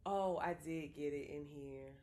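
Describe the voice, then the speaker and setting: A woman's voice: low voice, a little slow, dark tone. A young woman co-hosting a podcast, frankly telling profane stories in a casual chat full of "like". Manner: frank, profane, storytelling with lots of "like". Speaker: podcast co-host.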